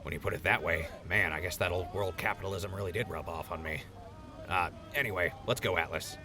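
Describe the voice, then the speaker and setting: A man's voice: sits low, brisk, over music. An actor playing a laid-back male radio DJ in a post-apocalyptic wasteland, chatty and rambling. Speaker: radio host character. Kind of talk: audio drama acting.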